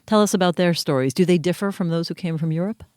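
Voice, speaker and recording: A man's voice: high-pitched, fast talker, a little mellow, smooth, no echo. A man hosting a podcast interview, pressing his guest with probing follow-up questions.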